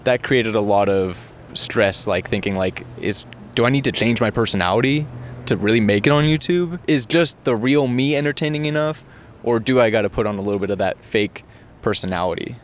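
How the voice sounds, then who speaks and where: A man interviewed as a content creator, talking in a low-energy, monotone way. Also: a little fast, a little hiss or hum. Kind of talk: casual interview.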